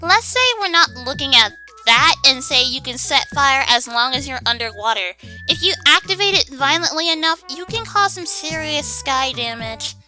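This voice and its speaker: A woman's voice: high voice, lively intonation, normal speed, sharp tone. A woman co-hosting a fan commentary video, debating casually with friends over background music. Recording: music behind the voice.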